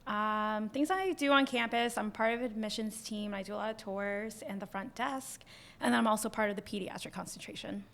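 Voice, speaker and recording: A woman's voice: mid-range voice, steady tone, a little fast, fairly bright tone. A young woman from Portland, Maine, a student guest on a podcast, chatting casually with fillers. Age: young adult.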